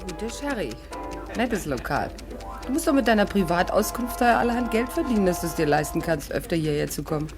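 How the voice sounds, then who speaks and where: A woman's voice: medium pitch, lively intonation, brisk, lightly acted. An actress playing a witty, stubborn young woman in a 1950s German radio play, with ironic, theatrical banter. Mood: cool, bantering. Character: witty, stubborn young English actress, hat lover, narrating. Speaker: actress narrating and playing the heroine. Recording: 1950s radio recording, music behind.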